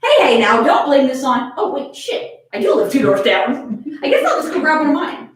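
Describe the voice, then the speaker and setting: A woman's voice: sits high, very animated, normal speed, slight background noise. A woman voicing a brash, playful, scatterbrained young woman in a theatrical, flippant way for a fan fiction reading. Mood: flippant, bragging. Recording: very echoey room, distant from mic.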